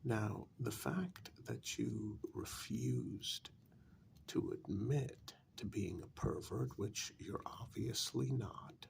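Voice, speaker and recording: A man playing a calm, frank vampire in a soft-spoken, halting ASMR role-play, often dropping close to a whisper. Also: fairly low pitch, vocal fry, slight background noise, exaggerated voice. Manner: slow, deliberate, halting with long pauses.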